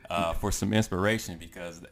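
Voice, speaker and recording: Casual low-voiced man in his thirties. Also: steady tone, a little fast. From United States. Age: 30s. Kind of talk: casual chat.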